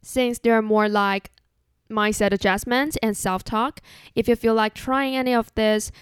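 A young woman with a Chinese accent hosting a solo podcast in an earnest, measured, relaxed way. English is not her first language. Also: fairly high pitch, smooth, no echo, studio quality. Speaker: podcast host.

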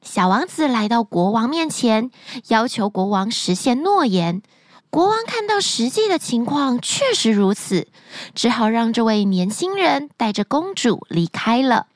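A woman narrating a fairy tale as a bedtime story, gently and expressively, close to the mic. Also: on the high side, sing-song, a little slow, dry recording.

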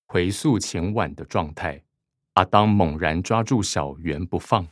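A male narrator reads scripted stage directions for a radio drama. His voice is low and unhurried, recorded close and dry. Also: dry recording.

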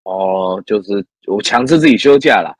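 A man hosting an interview with a low, slightly husky voice that moves up and down freely. He asks friendly questions and hums along often. Faint music sits in the background. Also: moderate pace, no room sound. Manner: lively, many back-channel hums, friendly questions. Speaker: host. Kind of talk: casual interview chat.

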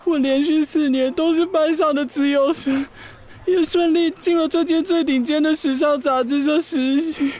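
A woman's voice: high-pitched, fairly even intonation, normal speed, a little mellow, natural voice. A woman playing a naive, bewildered young intern who is crying, in a radio drama. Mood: distraught, tearful. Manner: plaintive, bewildered. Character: naive, tearful young fashion magazine intern.

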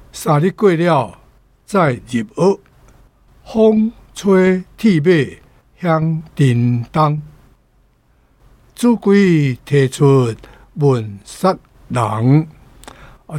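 A man's voice: a little high, darkish tone, no room sound. A man with a Taiwanese accent teaching vocabulary conversationally, repeating words as he explains and sometimes reciting folk verse. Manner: explanatory, repeats words, frequent filler particles.

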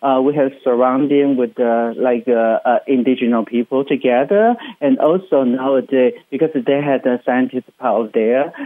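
A man's voice: medium pitch, no echo. Taiwanese man, phone-like radio sound. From Hsinchu, Taiwan. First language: Chinese. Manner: enthusiastic, explanatory, halting second-language English. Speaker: interview guest, travel writer and radio host. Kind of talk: chatty radio interview.

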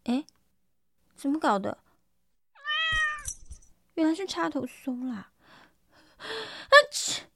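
A woman acting as an excitable young woman with an extremely high voice. She speaks slowly in a whiny, cutesy radio drama manner, full of exclamations. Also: gravelly, full character voice. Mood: tipsy.

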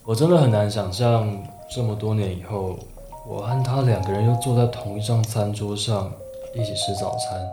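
Actor as sensitive, low-voiced man around forty. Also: normal speed, lightly acted. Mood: reflective, unsettled. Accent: Taiwanese Mandarin. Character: man around forty reuniting with old flame, sensitive, unsettled. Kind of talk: radio drama narration and dialogue. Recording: roomy echo, music behind.

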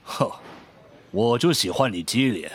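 A man's voice: mid-range voice, lively intonation, a little slow, breathy edge. A man playing a shrewd, composed senior police captain in a radio drama. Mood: composed, businesslike.

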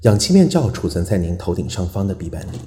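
Deep echoey male flight attendant, acted by a man. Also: sing-song, quick pace, mellow. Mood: formal, instructional. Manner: formal, instructional. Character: male flight attendant reading safety briefing. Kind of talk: scripted in-flight safety announcement. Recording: roomy, echoey hall sound.

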